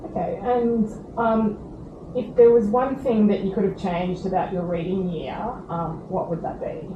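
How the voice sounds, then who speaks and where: A woman's voice: medium pitch, fairly even intonation. Polite woman interviewer, phone-like sound. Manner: polite, unhurried, with many fillers. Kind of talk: interview questions. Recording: phone-like, echoey, noisy.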